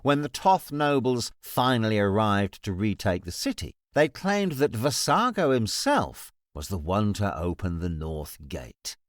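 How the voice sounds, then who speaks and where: Cold all-knowing ruler for fantasy audiobook , male actor: cutting, deliberate, formal, widely varied intonation, close dry sound. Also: lowish voice, very animated, no room sound. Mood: coldly accusing. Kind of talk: full-cast fantasy audiobook acting.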